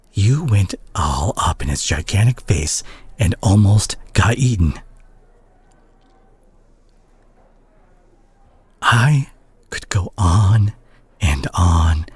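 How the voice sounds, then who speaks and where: Husky, worried superhero played by a man. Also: lowish voice, darkish tone, no room sound, exaggerated voice. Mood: pained, worried. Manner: emotional, halting, emphatic pauses. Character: concerned, exasperated superhero scolding a reckless injured teammate. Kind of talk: scripted audio roleplay, dramatic monologue.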